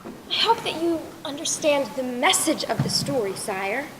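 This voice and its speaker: A woman's voice: high-pitched, sing-song, a little slow. A young teenage girl acting a clever, respectful storyteller in a school play, with formal, projected delivery. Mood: calm, knowing. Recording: echoey hall, noisy distant stage recording.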